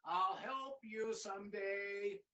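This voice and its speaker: A man's voice: high-pitched, slow, pushed and bright, distant mic, lo-fi sound, full character voice. A man voicing a thirsty crocodile that asks casually for water.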